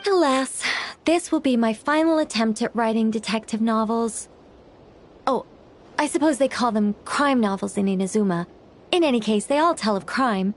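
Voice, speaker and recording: Woman voicing an eccentric, high-voiced theatrical lady novelist. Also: normal speed, natural voice. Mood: dramatic, lofty. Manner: theatrical, formal, self-assured. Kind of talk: video game voice acting.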